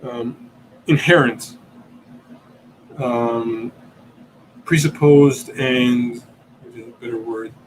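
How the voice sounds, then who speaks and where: A man from Italy whose first language is Italian, hosting a livestream Q&A and reasoning aloud, often pausing and searching for words. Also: medium pitch, steady tone, slight background noise, low-quality recording. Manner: hesitant, searching for words, many ums and restarts. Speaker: podcast host answering questions.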